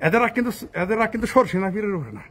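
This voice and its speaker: High-pitched Bangladeshi man, scornful ranting. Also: expressive, very fast, fairly bright tone, slightly rough. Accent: Bangladeshi Bengali. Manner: combative, scornful, swearing freely, rhetorical questions. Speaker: political commentator. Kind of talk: informal political rant to camera.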